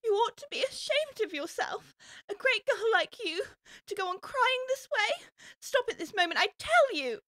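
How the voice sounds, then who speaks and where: High-voiced, expressive little girl. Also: moderate pace, no room sound, heavily acted. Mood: scolding herself. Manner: earnest self-questioning, talks to herself aloud. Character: young girl Alice, polite, puzzled, easily upset. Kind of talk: full-cast audiobook storybook acting.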